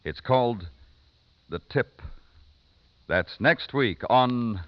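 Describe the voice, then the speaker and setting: A man's voice: medium pitch, animated, extremely slow, very noisy. A man reading scripted credits and previews as a 1950s radio announcer. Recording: muffled vintage radio broadcast with music. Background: music.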